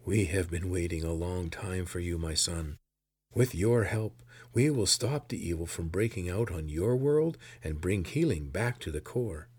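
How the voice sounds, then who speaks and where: A man's voice: low voice, a little slow, a little mellow, slightly rough, no room sound. A man voicing a wise, steadfast old man with an earnest, firm manner in a fantasy story. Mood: joyful, moved.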